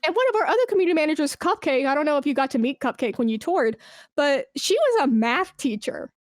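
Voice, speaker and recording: A woman's voice: sits high, lively intonation, fast, dry recording, clean studio sound. A woman guest in a conversational interview, giving career advice in an informal, encouraging and emphatic way. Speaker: podcast guest, esports brand and community manager.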